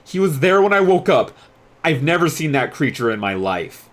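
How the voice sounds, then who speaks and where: A man's voice: a little high, very animated, normal speed, a bit bright, pitched above the performer's own voice. A man voicing an anxious, bewildered teenage boy who is new to a magic school, hesitant and earnest.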